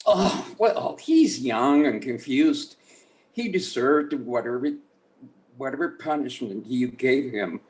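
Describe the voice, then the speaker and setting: A man plays an old grandfather, humble and ashamed. He talks very slowly in a mid-pitched voice, hesitating as he gently pleads in a drama read. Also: animated, rough recording. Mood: humble pleading. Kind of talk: screenplay table read.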